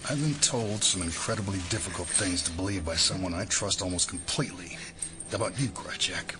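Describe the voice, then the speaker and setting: An actor playing a stern, bitter, middle-aged FBI director with a formal, forceful way of speaking, in an audio drama. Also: male voice, deep voice, brisk, over music, lightly acted. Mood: cold anger.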